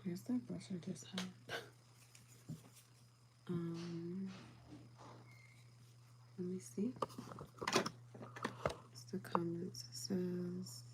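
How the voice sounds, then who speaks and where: A calm, soothing woman chatting casually with viewers as she does her makeup, often dropping to a whisper. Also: mid-range voice, noisy background. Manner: calm, soothing, soft-spoken; often drops to a whisper while applying makeup. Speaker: beauty livestream host.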